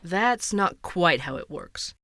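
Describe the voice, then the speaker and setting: A man's voice: high voice, animated, slow, bright, forceful tone, exaggerated voice. An actor playing a curious, chatty male podcast host, conversational and wry in an explanatory way, in a scripted audio drama. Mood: friendly, teasing. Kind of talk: scripted fiction podcast narration and interviews.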